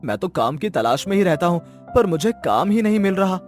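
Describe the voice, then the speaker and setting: A man's voice: high-pitched, big pitch swings, a little fast, faint music behind. A man voicing a young jobless village son, pleading and defensive, in a cartoon moral story. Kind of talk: Hindi animated moral story dialogue.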